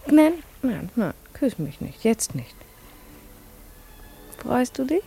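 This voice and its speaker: A woman's voice: a little high, very animated, slow pace, creaky, dark tone, slightly rough, exaggerated voice. An actress playing a playful, tender young woman in love, teasing and intimate in a 1950s radio play. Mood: coy, teasing. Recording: 1950s radio recording, faint music behind.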